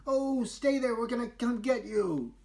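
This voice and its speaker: A brave young calf girl from a children's storybook, acted out by a man. The voice jumps up high and calls out with feisty, urgent exclamations. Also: expressive, a little slow, vocal fry, darkish tone, full character voice. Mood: urgent, commanding.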